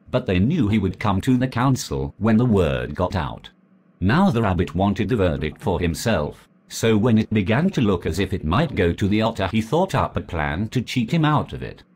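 A man reading a folk legend aloud as a storyteller, in a measured, deliberate way. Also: fairly low pitch, a little mellow, husky.

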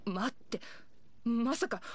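High-voiced, proud village cook. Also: female voice, animated, a little hiss or hum. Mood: sceptical. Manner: brisk, self-assured, challenging. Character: proud, feisty adult female village meat chef. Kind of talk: anime-style game acting.

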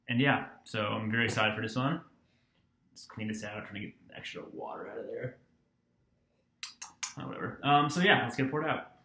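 A man's voice: lowish voice, pushed and bright, reverberant. A young man in his twenties casually reviewing a beer, rambling with lots of ums and restarts, filmed on a webcam at a desk in a small room. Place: small dorm-like room indoors.

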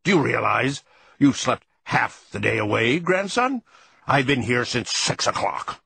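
A man's voice: mid-range voice, expressive, creaky, a little hiss or hum, voice pushed up, heavily acted. Man playing a bellowing, bossy old grandfather mouse. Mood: outraged. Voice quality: shrieking, shouting. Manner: domineering, emphatic, drawn-out key words.

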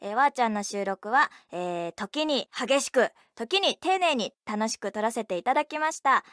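A woman's voice: on the high side, expressive, normal speed, fairly bright tone, dry recording, studio quality. A woman, a voice actor, giving a polite recorded message to game players.

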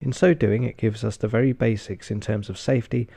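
Deadpan British man with a low, creaky voice. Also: a little fast. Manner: deadpan, sardonic, sweary. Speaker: film reviewer. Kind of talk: scripted review narration.

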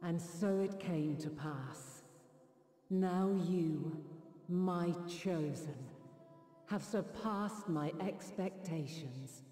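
A woman's voice: fairly low pitch, extremely slow, dark tone. A woman playing an ancient, weary, motherly goddess in a game cutscene, speaking in stately, solemn archaic phrases. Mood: solemn, remorseful. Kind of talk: scripted game cutscene acting. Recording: echoing long reverb over background music.

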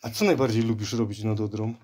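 Very low-voiced woman interviewer, mellow and lively. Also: fast, a little hiss or hum. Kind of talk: asking children short questions in an audio documentary.

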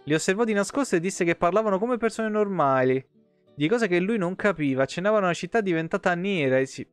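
A man's voice: high-pitched, lively intonation, fast, clear, resonant tone, no echo. A man, reading game lore aloud and breaking off for informal asides as a live streamer. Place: indoor home streaming setup. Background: soft plucked guitar game music.

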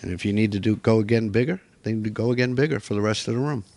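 A man's voice: lowish voice, fast, vocal fry, darkish tone. A middle-aged man presenting a workshop in a hotel meeting room, coaching people step by step.

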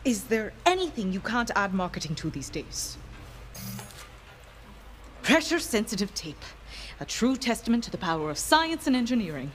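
A woman's voice: on the high side, very animated, normal speed, pauses often, slight background noise. A woman acting in a video game as an earnest young female inventor who rambles in precise, awkward technical language. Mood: wry, muttering.

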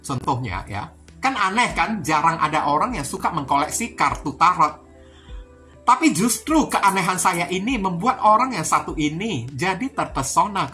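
A woman from Indonesia talks through a tarot reading in a bright, forceful voice that sits fairly low. She is chatty and circles back over her points, often turning to address her viewers. Music plays softly behind her. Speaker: tarot reader. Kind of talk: informal card-reading talk to viewers. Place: indoors at a card table.

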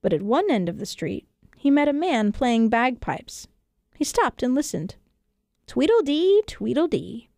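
A woman with a fairly high voice tells a children's story. Her reading is expressive and scripted, made for acting out. The studio sound is dry and close. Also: normal speed, no echo. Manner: expressive, acts out dialogue. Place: radio studio.

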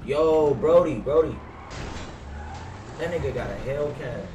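A man's voice: fairly high pitch, animated, unhurried, highs cut off, noisy background. A young American man chatting casually on a game livestream, slangy and full of swearing. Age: young adult. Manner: slangy, profane. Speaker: game streamer. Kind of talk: casual livestream chat while playing a role-play game. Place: indoor room. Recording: roomy, distant mic, music behind. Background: music, car sounds from the game.

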